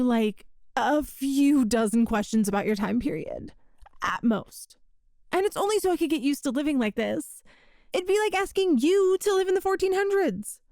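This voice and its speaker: A woman's voice: high-pitched, darkish tone, no echo, clean studio sound, exaggerated voice. A woman playing an awkward, chatty time traveler from the far future in an ASMR roleplay, rambling and hesitant. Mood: hopeful, awkward. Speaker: audio roleplay performer.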